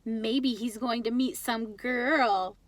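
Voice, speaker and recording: A woman's voice: fairly high pitch, steady tone, takes it slowly, no room sound. A woman voicing a cheeky, talkative boy best friend in a children's storybook, emphatic and questioning. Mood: curious teasing. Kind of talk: children's storybook read-aloud.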